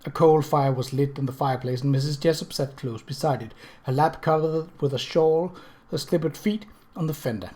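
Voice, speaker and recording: A man narrates a period mystery novel in a voice pitched a little high. His phrasing is measured and storybook-like, as an audiobook reader's is. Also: warm tone.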